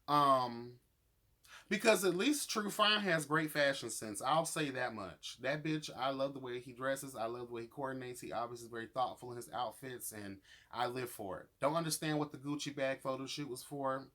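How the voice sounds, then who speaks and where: A man's voice: medium pitch, sharp tone. Sassy, emphatic man giving a profane rant. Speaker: YouTube reviewer of a reality show. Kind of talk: opinionated review monologue, casual and profane.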